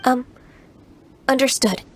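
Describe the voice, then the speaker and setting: A woman playing a gentle, earnest young half-immortal in training, soft-spoken, formal and hesitant, in a video game. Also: high-pitched, slow pace, a little hiss or hum. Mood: polite, dutiful. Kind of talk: video game character acting. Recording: music playing behind the voice.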